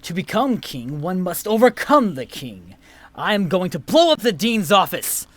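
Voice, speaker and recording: A man's voice: high-pitched, very animated, moderate pace. A man acting a crazed young would-be usurper in a comedic audio drama, with theatrical, mock-archaic speech. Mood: grand defiance.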